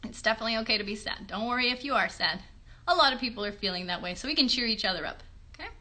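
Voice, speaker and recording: Bright-voiced, encouraging woman hosting for children. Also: on the high side, expressive, very fast. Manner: encouraging, reassuring. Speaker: puppeteer and children's show host. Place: bedroom. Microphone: phone a short distance away.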